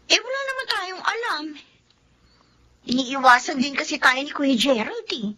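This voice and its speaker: An excitable younger sister in a radio drama. Her voice is very high, bright and lively, with a chirpy, exclaiming way of talking. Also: moderate pace, heavily acted. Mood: excited, chatty. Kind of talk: Tagalog radio drama acting.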